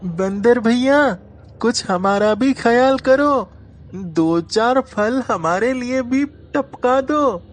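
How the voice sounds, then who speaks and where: A man voicing an excitable, playful nine-year-old younger brother in a children's cartoon story. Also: extremely high pitch, drawn-out words, pitched above the performer's own voice, exaggerated voice. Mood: cheeky pleading. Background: music.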